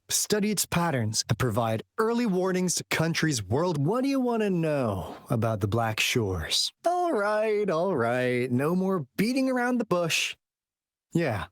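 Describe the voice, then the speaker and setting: A man's voice: mid-range voice, no echo, clean studio sound. A man voicing a confident, casual young agent in a video game, explaining things in a conversational way. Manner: conversational, expository, breezy. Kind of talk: video game dialogue acting.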